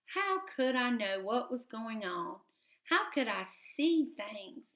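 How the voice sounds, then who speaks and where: A woman's voice: a little high, very animated, slow, a bit bright, roomy sound, heavily acted. A woman voicing a hearty, teasing blind male mole.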